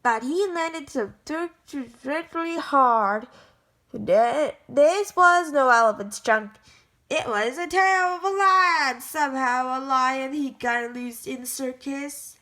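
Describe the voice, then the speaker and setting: A woman reads a children's picture book aloud at an unhurried, deliberate pace. Her voice sits a little high and sounds bright and crisp, and her reading is careful and halting, with frequent restarts and self-corrections. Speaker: storybook reader.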